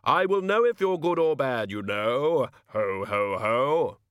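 A man voicing a jolly, booming old winter gift-giver who laughs heartily, in a storybook reading. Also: animated, takes it slowly, a bit bright, clean tone, no room sound, clean studio sound. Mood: jovial.

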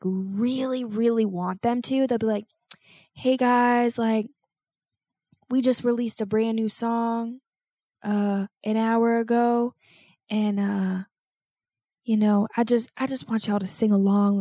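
Stuffy-nosed young woman rambling on her podcast, kinda high voice, dry close mic, jumps into loud bits. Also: dry recording. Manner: rambling, self-mocking, swings to loud exclamations. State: congested. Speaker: podcast host. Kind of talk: solo podcast monologue.

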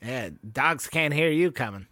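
A man's voice: on the high side, expressive, unhurried, pushed and bright, no echo. A man co-hosting a music podcast, talking loosely with lots of fillers, restarts and swearing. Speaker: podcast co-host. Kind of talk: casual music chat.

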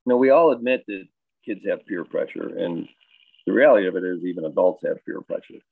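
A man with a low, gravelly voice, talking like a high school baseball coach who circles his points with fillers and restarts. The sound is thin and phone-like, and the room is dry with no echo. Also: lively intonation, a little fast. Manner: thoughtful, rambling with many fillers and restarts. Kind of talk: casual interview answers. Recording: dry, tinny phone-like line.

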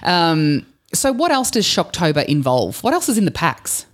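A woman's voice: lowish voice, sing-song, normal speed. A woman with an Australian accent hosting a casual podcast interview, chatting in a jokey, teasing manner. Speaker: podcast host.

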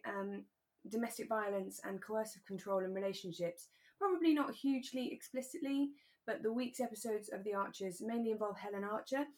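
A British woman chats as co-host of a podcast. Her pitch sits in the middle for a woman, and her manner is relaxed, casual and a little self-deprecating. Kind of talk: relaxed casual chat about a radio drama.

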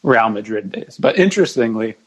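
A man's voice: on the low side, big pitch swings, fairly quick, fairly bright tone, slightly rough. A man speaking as a guest on a sports podcast, chatting casually. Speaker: podcast guest, jersey ranking expert.

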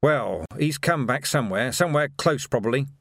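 A man voicing a weary, dogged city watch commander in a storybook reading, with a growling, croaky edge and blunt, clipped orders. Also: mid-range voice, expressive, fairly quick, fairly bright tone, no echo, clean studio sound. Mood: groggy, irritable.

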